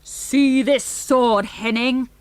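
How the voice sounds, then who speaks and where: A woman's voice: high voice, fairly even intonation, very slow, darkish tone, no room sound, voice pushed up. A woman voicing a mischievous, defiant old great-grandfather in a storybook, exclaiming with glee. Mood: defiant, boastful.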